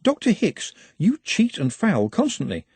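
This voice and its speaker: A man's voice: high-pitched, huge pitch swings, measured pace, a little mellow, slightly rough, dry recording, voice pushed up, heavily acted. A man voicing a small, posh, earnest young man who talks in a formal, precise way. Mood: earnest lecturing.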